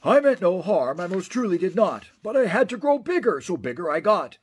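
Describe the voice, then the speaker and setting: A man's voice: high voice, very animated, a little fast, husky, full character voice. A man voicing a greedy, stubborn factory owner who speaks emphatically and boastfully in storybook rhyme.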